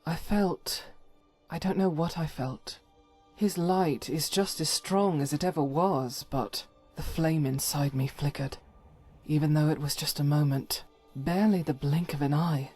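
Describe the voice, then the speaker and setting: A woman's voice: sits low, measured pace, darkish tone, husky, soft background music. A woman voicing a devout, stern holy warrior who carries private doubt, speaking solemnly and formally, as in a fantasy game. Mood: guarded, resolute. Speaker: voiced follower character in a fantasy game mod. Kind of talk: scripted dramatic game dialogue.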